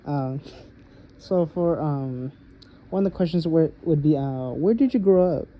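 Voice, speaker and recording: A young man, a student, conducting an interview for a class, halting and full of uhs and quick laughs. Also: on the high side, animated. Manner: halting, filler-heavy, nervous laughs. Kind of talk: casual recorded interview. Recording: muffled with light background noise.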